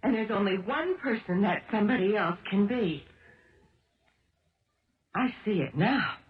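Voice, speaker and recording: A woman's voice: mid-range voice, expressive, measured pace, lightly acted. A woman playing a dogged, insistent amateur sleuth in a 1970s radio drama, pressing and emphatic, on a muffled old broadcast. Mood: pressing, urgent.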